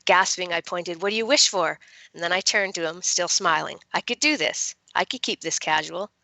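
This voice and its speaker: A woman reading a young adult novel as its guarded, wry teenage girl narrator, with measured expression. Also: lowish voice, gravelly, sharp tone, dry recording, poor audio. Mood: wistful, resolute.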